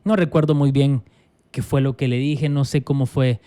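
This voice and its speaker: A young Salvadoran man, a pastor and guest, telling his life story in a relaxed, reflective interview with frequent restarts. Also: mid-range voice, moderate pace.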